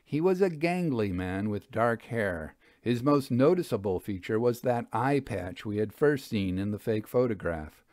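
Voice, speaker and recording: A man's voice: medium pitch, lively intonation, a little slow, few pauses, a little mellow, no room sound, clean studio sound. A man reading scripted narration for a period mystery story as an audiobook narrator.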